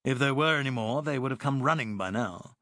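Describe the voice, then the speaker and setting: A man voicing a resolute young British officer who gives crisp commands. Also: medium pitch, fairly quick, fairly bright tone, no room sound, studio quality, close to an everyday voice. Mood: grim.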